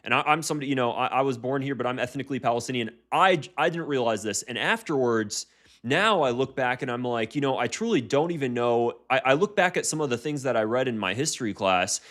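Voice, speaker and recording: A man's voice: mid-range voice, lively intonation, fast, a bit bright. An American man giving heated reaction commentary, often restarting his sentences. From United States.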